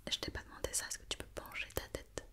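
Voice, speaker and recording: Female ASMR artist as examining doctor: fully whispered, breathy, patient and methodical, repeating gentle instructions and questions. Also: quick pace. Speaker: ASMR performer playing a doctor examining a robot. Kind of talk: whispered ASMR medical check-up roleplay.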